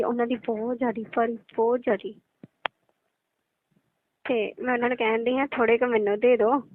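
A woman's voice: fairly high pitch, no echo. A woman with a Punjabi accent chatting casually and intimately on a phone call. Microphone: telephone. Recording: narrowband tinny phone-call audio.

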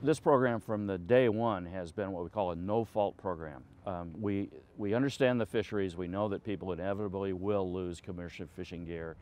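Deep-voiced man explaining in an interview. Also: fairly quick, hardly any pauses, darkish tone. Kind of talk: documentary interview. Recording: faint engine and outdoor noise.

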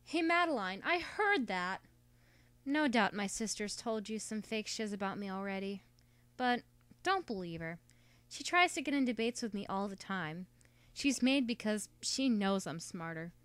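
Woman acting a cheeky smug sister, clear-voiced. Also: medium pitch, animated, moderate pace, a bit bright, dry recording, close to an everyday voice. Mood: smug, teasing. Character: cheeky, smug teenage sister, slangy and gossipy. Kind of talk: amateur animated drama acting.

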